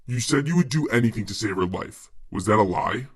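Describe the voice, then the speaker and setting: A man voicing a gloating, menacing demon who bargains slowly and theatrically in a comic book story. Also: on the low side, animated, husky. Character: sinister male demon, gloating, smooth-talking bargainer.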